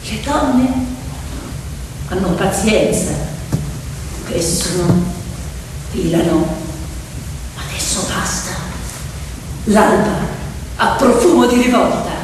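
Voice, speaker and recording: A woman's voice: medium pitch, animated, slow, long pauses, background noise. A woman telling a story theatrically, in a poetic, emphatic manner with incantatory phrasing. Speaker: stage storyteller and actress. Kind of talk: dramatic theatrical storytelling with piano. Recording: echoey reverberant hall, distant mic, loud music. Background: piano music.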